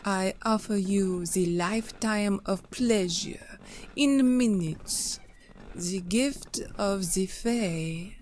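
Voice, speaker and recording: A woman's voice: mid-range voice, drawn-out words, darkish tone, slight background noise. A woman playing a seductive, ageless fae siren in an audio play, speaking in a languid, incantatory manner. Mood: seductive, soothing.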